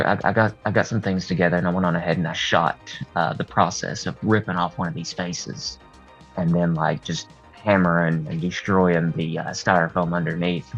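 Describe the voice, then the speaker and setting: A man with a husky voice talking candidly and at rambling length as a guest in a casual interview. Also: deep voice. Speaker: horror artist and video creator, interview guest. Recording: dull lo-fi sound, music behind. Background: music.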